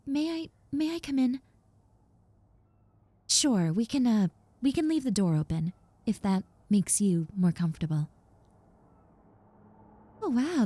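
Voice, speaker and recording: A woman's voice: medium pitch, mellow, breathy edge, no room sound. A woman playing a nervous, apologetic young reformed yandere in a roleplay audio drama, speaking in a hesitant, stammering, earnest and reassuring way. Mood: nervous, shy. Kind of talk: roleplay audio drama, conversational monologue to the listener.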